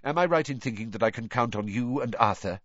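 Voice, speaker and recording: A man voicing an elderly wizard headmaster in an audiobook, grave, courteous and commanding, speaking in a measured, formal way. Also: medium pitch, lively intonation, fairly bright tone, no room sound, lo-fi sound. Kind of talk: audiobook character voice.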